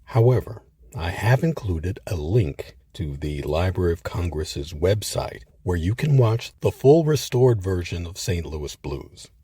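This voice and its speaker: A man hosting a history series, reading scripted documentary narration with measured, emphatic phrasing. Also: low voice, sing-song, warm tone, breathy edge.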